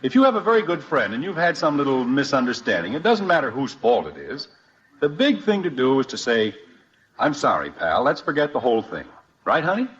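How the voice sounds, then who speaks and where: A man's voice: medium pitch, sing-song, brisk, fairly bright tone. A man playing a coaxing, easily exasperated middle-aged father in a 1950s radio family comedy. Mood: patient, coaxing. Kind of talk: 1950s radio sitcom acting. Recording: dull, hissy old radio recording.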